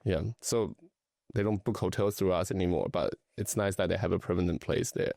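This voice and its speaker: A middle-aged man from Taiwan talks casually as a guest, his low voice edged with gravel. He pauses often and restarts his thoughts. The recording is dry and close, with no room sound. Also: a little mellow, dry recording. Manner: hesitant, many fillers and restarts. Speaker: luxury travel and lifestyle advisor, guest. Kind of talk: casual conversational interview.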